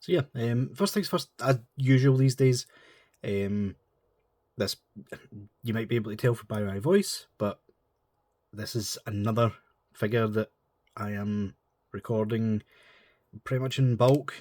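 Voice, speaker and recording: Stuffy-nosed man, low voice. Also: a little slow, long pauses, a little mellow, no echo, studio quality. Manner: chatty, rambling, informal. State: stuffy nose from a cold. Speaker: model kit reviewer. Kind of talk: casual chat.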